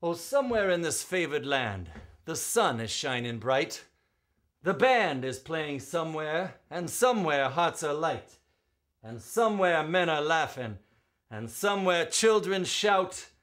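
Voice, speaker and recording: A man performing as a poetry reader, with a theatrical, emphatic storytelling delivery and drawn-out words. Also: a little high, very animated, fairly bright tone. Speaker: performer reading poetry aloud. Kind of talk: dramatic poetry recitation with spoken introduction.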